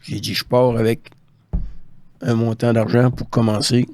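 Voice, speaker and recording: A man's voice: mid-range voice, little pitch movement, a little slow, darkish tone. An older man with a Quebec French accent telling career anecdotes casually as an interview guest, colloquially re-enacting conversations with quoted dialogue. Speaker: guest, former hockey scout.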